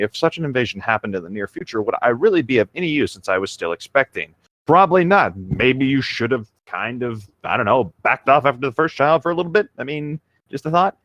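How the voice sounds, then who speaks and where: A man's voice: medium pitch, a bit bright, no echo. A man reading fan fiction aloud as a co-host, breaking off for sarcastic, offhand asides.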